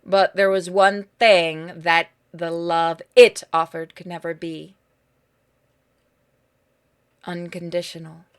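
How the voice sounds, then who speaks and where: A woman giving dramatic scripted narration for a horror game, pausing for effect between phrases. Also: lowish voice, little pitch movement, clear, resonant tone. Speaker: gaming channel narrator.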